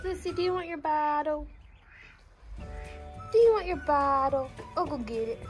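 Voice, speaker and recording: A woman in her twenties chatting informally to the camera in a casual farm vlog, doting and quick to laugh. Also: high voice, lively intonation, music in the background. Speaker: farm vlogger. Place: wooden barn and farmyard. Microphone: phone at arm's length.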